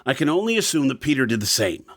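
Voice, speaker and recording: A man's voice: mid-range voice, very animated, fast talker, a bit bright, no echo, clean studio sound. A man playing an earnest, secretive character in an audio drama, speaking in an explanatory way. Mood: defensive.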